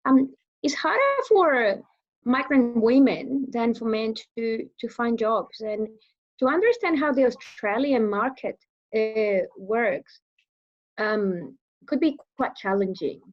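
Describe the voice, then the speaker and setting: Woman from Venezuela, Spanish-accented English, slightly high voice, halting interview answers with lots of fillers, muffled audio. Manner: hesitant, many fillers and restarts. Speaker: guest speaker.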